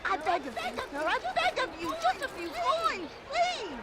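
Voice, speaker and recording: A woman's voice: very high-pitched, very animated, normal speed. A woman voicing a poor, insistently pleading beggar with a whining, repetitive delivery in a video game. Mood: desperate pleading. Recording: street crowd and ambient noise.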